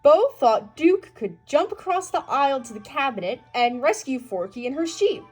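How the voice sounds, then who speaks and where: A woman's voice: high voice, very animated, normal speed. A woman reading a children's story aloud in a scripted narration style. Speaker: storybook reader. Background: music.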